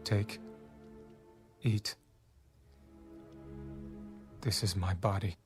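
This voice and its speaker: Man acting as Jesus, low, solemn, over music. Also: steady tone. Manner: solemn, deliberate. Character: Jesus, solemn, gentle, sorrowful man speaking scripture. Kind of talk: dramatized audio Bible acting. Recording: music behind the voice.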